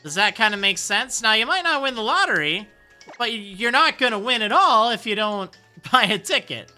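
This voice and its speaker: A man with a high, youthful-sounding voice streaming a game, reading viewer messages and answering with rambling encouragement. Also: very animated, normal speed, bright, forceful tone, soft background music. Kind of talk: casual live-stream chat.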